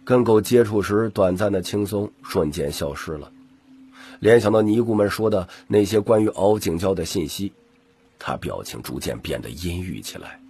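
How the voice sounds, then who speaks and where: A man narrating an audiobook in a scripted, dramatic storytelling style, with a husky, gravelly voice. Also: deep voice, lively intonation, normal speed, mellow.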